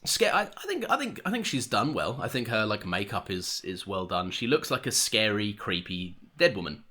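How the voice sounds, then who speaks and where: A man's voice: fairly low pitch, moderate pace. A man co-hosting a casual podcast chat, joking and laughing often. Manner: animated, laughs often, jokey. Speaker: podcast co-host.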